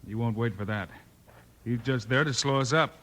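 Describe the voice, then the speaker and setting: A male radio actor playing a calm, level-headed western lawman, composed and decisive. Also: mid-range voice, normal speed, lightly acted. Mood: steady, resolute. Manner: composed, decisive, plain-spoken. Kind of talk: 1950s western radio acting. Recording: noisy vintage 1950s radio recording.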